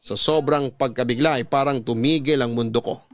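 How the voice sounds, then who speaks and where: A man's voice: medium pitch, fairly even intonation, moderate pace. A man with a Filipino accent narrating a scripted first-person story in classic radio storytelling style. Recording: music under the voice.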